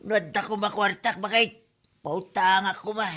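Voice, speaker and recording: A man's voice: high voice, steady tone, moderate pace, bright, forceful tone. A man playing a defensive husband who retorts to his wife in an Ilocano comedy drama, with an Ilocano accent.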